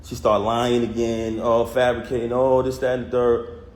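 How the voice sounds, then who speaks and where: A man speaks straight to his viewers, recounting a personal story in a heated, emphatic way with plenty of street slang. His voice sits at an ordinary male pitch. The room gives it a noticeable echo. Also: level tone, normal speed, a little mellow, a little hiss or hum. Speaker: vlogger recounting a personal conflict. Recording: roomy echo.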